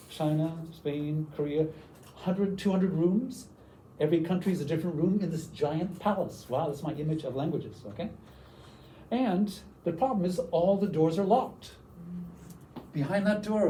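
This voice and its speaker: Older Canadian man, workshop presenter, dark mellow voice, slightly high, faint room noise. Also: far from the mic, low-quality recording. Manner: enthusiastic, tags lines with "okay?". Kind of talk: teacher workshop talk.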